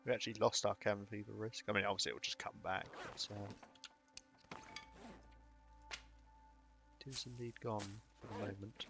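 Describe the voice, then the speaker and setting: A man's voice: lowish voice, level tone, moderate pace, vocal fry, pauses often, over music. A young British man chatting casually while streaming a game, rambling and chuckling between remarks. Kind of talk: live gaming commentary.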